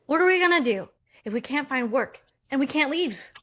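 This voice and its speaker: A woman's voice: high-pitched, lively intonation, a little fast, a bit bright. A woman playing an upbeat, eager, persuasive Millennial twin in a romcom table read. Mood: hopeful.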